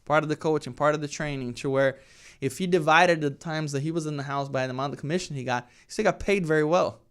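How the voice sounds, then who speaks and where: A man's voice: on the high side, fast, a bit bright, clean tone. A man in his thirties from Brazil with a Brazilian Portuguese accent, chatting casually as an interview guest and often ending points with "right?". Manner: conversational, tags statements with "right?". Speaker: real estate team leader, guest.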